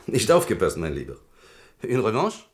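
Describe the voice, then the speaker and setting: Suave French-accented prison director, deep, animated. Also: male voice, moderate pace, noisy background. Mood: evasive, apologetic. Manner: polite, hesitant, mixes in French phrases. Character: courteous, evasive prison director from Lorraine, chess lover. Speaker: actor in a radio drama. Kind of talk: German radio play acting.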